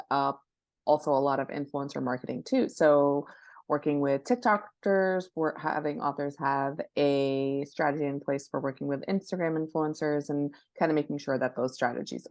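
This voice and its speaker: Female interview guest expert, deep-pitched, very dry sound, conversational and halting. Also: no room sound. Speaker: guest expert, book marketing professional.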